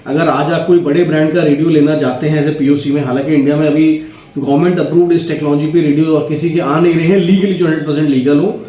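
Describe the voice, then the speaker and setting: A man's voice: fairly high pitch, steady tone, quick pace, warm tone. A man with an Indian accent giving a casual, explanatory product demonstration, often checking in with listeners. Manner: explanatory, checks in with listeners using tag questions. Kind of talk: casual instructional product demo. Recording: roomy echo, faint music behind.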